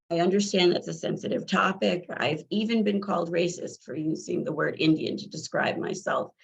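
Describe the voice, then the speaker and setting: A middle-aged woman from New Jersey with a scratchy, tired voice, telling her own adoption story slowly, with many fillers and restarts. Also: low-pitched, creaky, breathy edge. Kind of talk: personal account. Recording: dull lo-fi audio.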